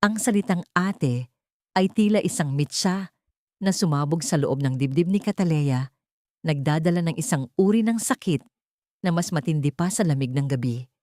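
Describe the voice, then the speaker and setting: A Filipino woman narrates a scripted drama in a fairly low voice. The recording is dry and close, with very little room sound. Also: moderate pace, darkish tone, no echo.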